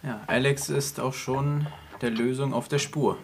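A man's voice: mid-range voice, steady tone, a little slow, creaky, crowd noise. A man co-hosting a casual fan commentary on a cartoon.

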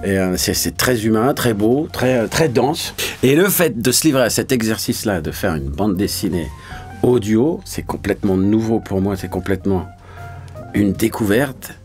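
A low-pitched man speaks casually as an interviewed voice actor. He is colloquial and often searches for the next word. Faint music plays underneath. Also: expressive, normal speed, a little mellow. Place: small recording studio.

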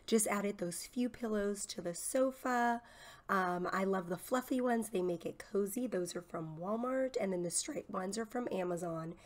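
A woman's voice: medium pitch, moderate pace. A woman in her thirties from Southern California, chatting upbeat in a home vlog from her living room, her voice still a little off from a cold. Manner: upbeat and chatty, with frequent "um" fillers. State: voice slightly off while getting over a cold. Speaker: home vlogger, mom. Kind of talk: casual cleaning and decorating vlog.

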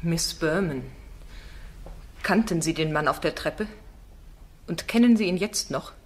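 A woman's voice: fairly low pitch, unhurried. An actress playing a bold, insistent female defence barrister in a German crime radio play. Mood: pressing, accusing. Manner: pointed, insistent cross-examining.

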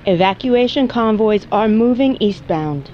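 An actress playing a steady emergency broadcast announcer giving measured instructions in an audio drama. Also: female voice, medium pitch, a little hiss or hum, natural voice. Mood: steady, urgent. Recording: dull band-limited emergency radio broadcast.

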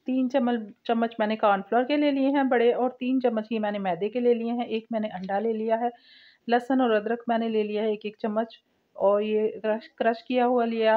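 A woman hosts a home cooking channel, talking through a recipe step by step. Her voice sits a little high. The sound is somewhat dull and lo-fi, like a simple home recording. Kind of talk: recipe voice-over, step-by-step instruction.

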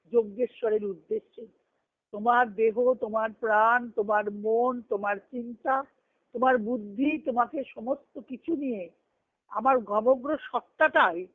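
A woman giving an earnest, emphatic devotional discourse over a conference phone line, reciting scripture and verse and pausing between short phrases. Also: a little high. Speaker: spiritual discourse speaker. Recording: tinny band-limited conference phone call.

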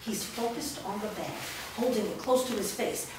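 A woman reading the stage directions aloud in a staged reading, with steady, clear narration. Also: medium pitch, normal speed, background noise. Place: large studio room with actors in a circle. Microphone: far camera. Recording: roomy echo from far off.

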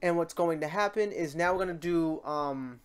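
A tutorial host chatting informally and thinking aloud in a meandering way while working through software steps. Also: medium pitch, normal speed. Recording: noisy room, keyboard and mouse clicks. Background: typing and mouse clicking.

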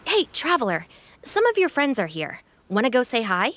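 An actress playing a calm, polite young woman who is organizing an event in a video game. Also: a little high, moderate pace. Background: game music.

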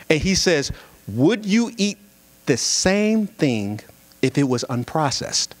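A man's voice: on the high side, slow pace. A young man speaking at a singles conference from a pulpit with a handheld mic, telling a story with humor in a sermon-like style. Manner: lively, storytelling, jokes with the crowd, animated delivery. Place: church sanctuary at a pulpit. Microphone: handheld microphone.

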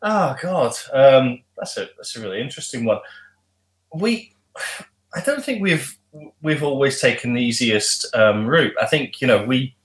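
A man's voice: medium pitch, expressive, a little slow. A middle-aged man, a musician guest, chatting on a video call from home with lots of ums and restarts. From not from Glasgow. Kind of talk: casual video call interview. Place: home room with guitars. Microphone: webcam on video call.

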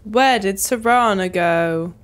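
A woman's voice: medium pitch, slow pace. A young woman giving casual, playful, chatty commentary while she plays a video game. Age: young adult. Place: small indoor room at home.

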